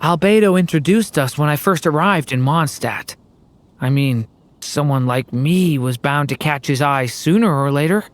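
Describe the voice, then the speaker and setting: A performer voicing a calm, polite and thoughtful young companion in a game. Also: medium pitch, moderate pace, slight background noise, natural voice. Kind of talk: game voice acting. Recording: game audio with music behind.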